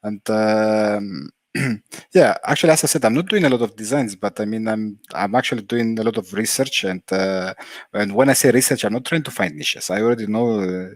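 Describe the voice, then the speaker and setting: Low-voiced Greek-native man, careful and unhurried. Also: no room sound, clean studio sound. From Germany. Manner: careful, unhurried, many "uh" pauses. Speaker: guest. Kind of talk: casual video-call interview.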